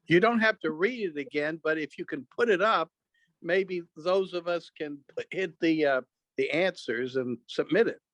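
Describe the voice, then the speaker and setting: A man's voice: on the high side, moderate pace, a bit bright, no echo, rough recording. A man taking part casually in an online club meeting, talking as a participant.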